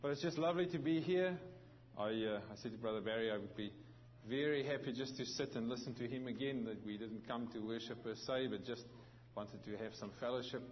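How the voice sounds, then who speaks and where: Man, South African accent, visiting pastor, sermon, halting with restarts, dull recording. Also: medium pitch, a little hiss or hum. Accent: South African with some New Zealand. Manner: halting, many restarts and fillers.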